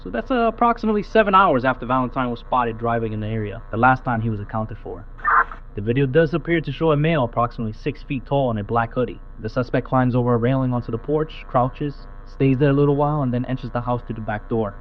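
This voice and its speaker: Cop calling in to dispatch, matter of fact, muffled radio w some hum. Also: male voice, medium pitch, fast. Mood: official, matter-of-fact. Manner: clipped, official, matter-of-fact. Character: procedural patrol officer reporting a scene to dispatch. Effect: police radio. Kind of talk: audio drama police radio report. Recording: muffled police radio, humming static noise.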